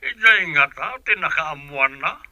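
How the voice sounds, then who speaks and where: A man's voice: fairly high pitch, expressive, a little slow, sharp tone, dry recording, highs cut off, heavily acted. A man playing an indignant older father-in-law in an Ilocano radio drama. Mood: reproachful.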